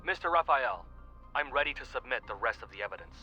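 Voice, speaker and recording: Male lawyer, game character, phone filter, quick delivery, piercingly bright tone, formal, businesslike. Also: mid-range voice, expressive, soft background music. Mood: businesslike, assured. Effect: phone call filter. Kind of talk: English video game voice acting.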